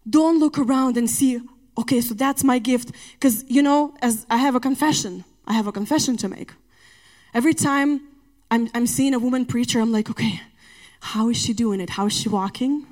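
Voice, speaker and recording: A middle-aged woman with a non-native English accent preaching a sermon, chatty and emphatic, often rising to loud exhortation. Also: on the high side, moderate pace. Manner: conversational asides to the congregation.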